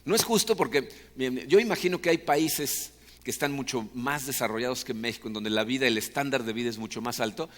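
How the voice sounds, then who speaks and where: A man's voice: mid-range voice, brisk, fairly bright tone, big-room sound. A Mexican man with a Mexican Spanish accent, preaching a sermon as a pastor in a conversational way, often checking in with the congregation. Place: church hall.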